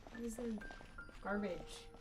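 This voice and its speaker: A woman's voice: on the high side, unhurried. A woman streaming a video game with casual, informal, teasing commentary. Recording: loud game music behind voice. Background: loud game music.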